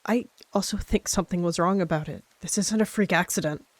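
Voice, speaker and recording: A woman's voice: lowish voice, a little fast, no echo, natural voice. A woman voicing a wary, anxious female warrior cat in a roleplay, earnest and hesitant. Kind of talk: text roleplay acted aloud.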